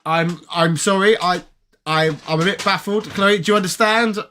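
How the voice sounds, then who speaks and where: A British man with a tired, raspy voice, chatting casually on a live stream and reading viewer comments aloud. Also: high-pitched, normal speed, noisy background. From Britain. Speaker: retro gaming streamer.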